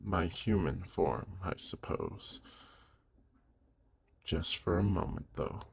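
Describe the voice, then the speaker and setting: A man voicing a gruff but caring werewolf of the woods in an audio roleplay, speaking gently and protectively to the listener in a gravelly, husky voice. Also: low voice, slow pace, slight background noise, full character voice. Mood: caring, awkward.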